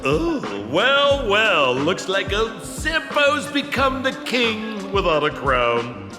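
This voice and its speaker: A man's voice: high-pitched, big pitch swings, slow pace, full character voice. A man playing a flamboyant, chummy rogue in a video game, with theatrical, teasing delivery. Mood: gleeful, teasing. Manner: theatrical, teasing, refers to himself by name. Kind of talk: video game character acting. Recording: echoey hall reverb, music behind. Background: game music.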